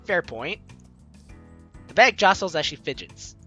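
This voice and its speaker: A man's voice: sits high, animated, a little slow, sharp tone, highs cut off. A young man playfully reading a visual novel aloud and commenting on it as a let's play host, with game music behind him. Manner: playful, theatrical, jokey reactions.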